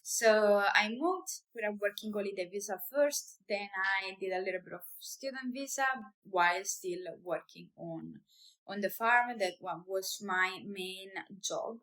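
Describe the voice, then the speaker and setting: A woman's voice: medium pitch, measured pace, fairly bright tone, rough recording. A woman in her thirties from Italy with an Italian accent, speaking English as a second language, talking casually and hesitantly with fillers and restarts in her own vlog. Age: 30s. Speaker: vlogger, business owner.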